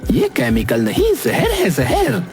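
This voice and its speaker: A man's voice: high voice, huge pitch swings, measured pace, gravelly, full character voice. A man voicing a friendly, indignant cartoon ghost with an earnest, dramatic, pleading way of talking. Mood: indignant, alarmed. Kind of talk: animated cartoon character acting. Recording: music bed under the voice.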